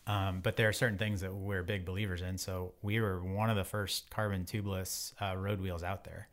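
A man's voice: low voice, flat delivery, normal speed, a bit bright. A man in his forties talking casually as an interview guest, in an informal, rambling way. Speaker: podcast guest, bike wheel company owner.

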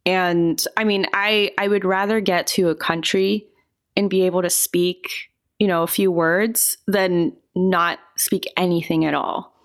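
A woman giving relaxed, thoughtful answers as an interview guest, often hedging her points. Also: fairly low pitch, a little slow.

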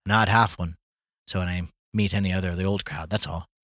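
A man's voice: sits low, fairly even intonation, a little fast, fairly bright tone, dry recording, clean studio sound. A man voicing a modest, serious, timid character in a storybook. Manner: modest, earnest.

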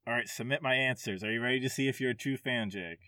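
A man hosting a casual gaming podcast quiz, reading questions and answers aloud in a somewhat halting way. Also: medium pitch, sharp tone, smooth, no room sound.

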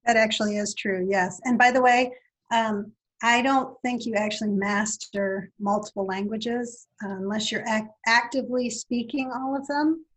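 A woman's voice: a little high, fairly even intonation, normal speed, fairly bright tone, poor audio. A woman whose first language is Italian, answering interview questions thoughtfully, with frequent fillers and restarts. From Italy. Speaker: university guest. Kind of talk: podcast interview.

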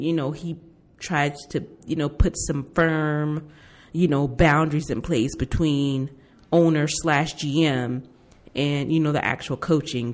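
An American woman co-hosting a podcast in casual conversation, with informal, slangy phrasing. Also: low voice. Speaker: podcast co-host. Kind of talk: casual chat.

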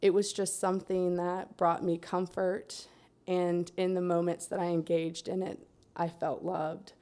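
A young adult woman from Central Florida telling her life story in a steady, soft-spoken way, pausing often with "um". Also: on the low side. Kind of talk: personal testimony in a conversation.

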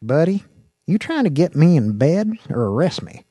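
A man's voice: fairly high pitch, big pitch swings, normal speed, warm tone, husky, no room sound, pitched above the performer's own voice. A man voicing a scruffy, unfazed moonshiner in an audiobook, with an American country accent and a sly, folksy manner. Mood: teasing.

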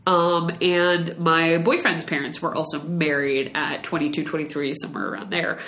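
A woman's voice: low-pitched, fairly even intonation, normal speed, a bit bright, reverberant. A woman in her late twenties co-hosting a casual podcast. She talks in a chatty way, often restarting and trailing off. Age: late 20s. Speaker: podcast co-host. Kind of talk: casual chat.

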